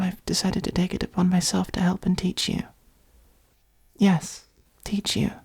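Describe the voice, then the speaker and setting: A gentle, protective vampire played by a woman speaks in a soft, husky whisper, thick with vocal fry. The tone is mellow and patient, soothing and reassuring in the manner of a comforting ASMR roleplay. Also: lowish voice, exaggerated voice. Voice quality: breathy, creaky. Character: gentle, protective adult vampire caring for a fledgling.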